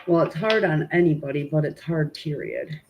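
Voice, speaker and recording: A woman's voice: low-pitched, moderate pace. Congested woman, home tarot streamer, casual and rambling, noisy audio. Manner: informal and chatty, many "um" fillers, greets viewers. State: congested, stuffy nose. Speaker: tarot reader hosting a live stream. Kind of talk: casual live-stream chat and card reading.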